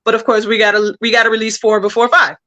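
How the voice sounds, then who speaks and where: Bright-voiced woman in an interview. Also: fairly high pitch, little pitch movement, quick pace, dull audio. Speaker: interview guest, comic book creator and writer. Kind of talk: casual interview, explaining story plans.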